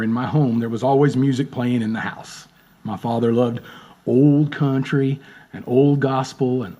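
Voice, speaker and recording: A man's voice: medium pitch, warm tone. A drummer in his late fifties, chatting as a guest in a casual interview, friendly and rambling, often repeating words. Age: 50s.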